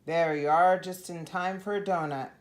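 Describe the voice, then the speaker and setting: A woman's voice: on the low side, steady tone, moderate pace, lightly acted. A woman voicing a friendly, hearty male grocer who calls out in a picture-book story. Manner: hearty, calling out. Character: friendly neighborhood grocer man, hearty and welcoming.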